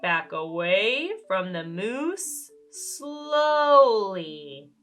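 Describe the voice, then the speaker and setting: A woman voicing a calm, knowing young outdoorsy boy in a children's storybook, measured and instructive. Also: mid-range voice, faint music behind, voice pushed down, full character voice. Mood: calm warning.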